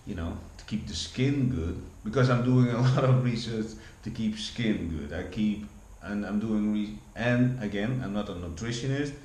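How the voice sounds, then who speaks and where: A man's voice: on the low side, normal speed, few pauses, a little mellow. Rambling Dutch man in an echoey room. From Netherlands. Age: 40s. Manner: rambling, many restarts and repeated words. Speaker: vlogger. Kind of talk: casual rambling monologue. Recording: echoey room with faint background hum.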